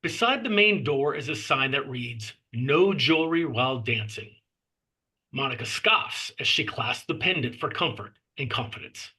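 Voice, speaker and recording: A man reading screenplay stage directions as narrator, with measured phrasing and clipped scene headings. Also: medium pitch, lively intonation, sharp tone, breathy edge, rough recording.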